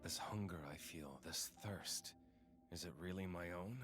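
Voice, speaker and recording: An actor playing a brooding, weighty male game villain who speaks deliberately. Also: sits low. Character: brooding deep-voiced villain questioning his own existence. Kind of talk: dramatic game cutscene dialogue. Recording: music under the dialogue.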